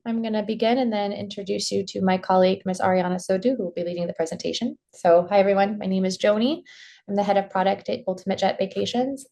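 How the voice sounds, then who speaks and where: A woman's voice: mid-range voice, a little mellow. A woman hosting a business webinar over a video call, speaking in a friendly, casual way with frequent "um"s. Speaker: webinar host and head of product.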